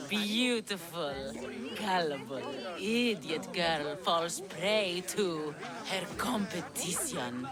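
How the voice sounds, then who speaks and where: A jealous, scheming young woman from a video game. She drawls her mockery slowly with swooping, lively pitch. Loud music runs under her condescending voice. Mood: smug contempt. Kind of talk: video game cutscene acting.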